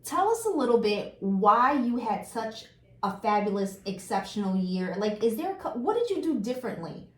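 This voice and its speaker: A woman's voice: on the high side, big pitch swings, normal speed. Bubbly chatty woman host, echoey room. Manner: bubbly, laughs often, chatty with fillers. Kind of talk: casual chat show interview.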